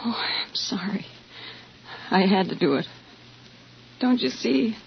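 Anguished pleading mom, old time radio, voice swoops around a lot, dull hissy sound. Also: female voice, mid-range voice, lively intonation, slow pace, creaky. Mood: hushed pleading. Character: anguished, pleading wife and mother with a guilty secret. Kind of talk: 1940s radio melodrama acting.

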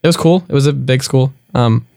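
A man's voice: medium pitch, brisk, vocal fry, darkish tone, no room sound, clean studio sound. A young man who grew up in South Florida, a college student guest chatting casually and rambling, with lots of filler words. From South Florida, United States. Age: young adult. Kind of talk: casual conversational interview.

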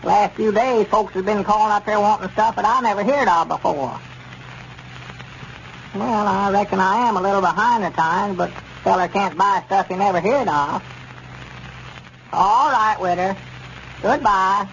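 High pitched old southern storekeeper, folksy n grumbly, old muffled 1930s radio comedy. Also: male voice, normal speed, gravelly, pauses often, a bit bright. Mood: polite, puzzled. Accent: rural Southern US. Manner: homespun, plain-spoken, grumbling. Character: folksy, easily puzzled old country storekeeper. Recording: dull, noisy 1930s radio recording.

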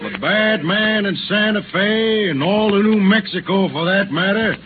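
Stern frontier hero, folksy drawn-from-the-West talk, highish pitch, old radio play, noisy recording. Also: male voice, unhurried, narrow, tinny audio. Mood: stern, determined. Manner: folksy Old West speech, forceful declarations. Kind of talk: 1930s radio acting.

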